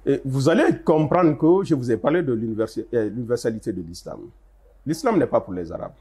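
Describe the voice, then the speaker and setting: A man's voice: medium pitch, lively intonation, brisk, warm tone, husky. An older man, a translator, answering interview questions in an emphatic, explanatory way, with stressed rises and frequent restarts. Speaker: guest, Arabic translator.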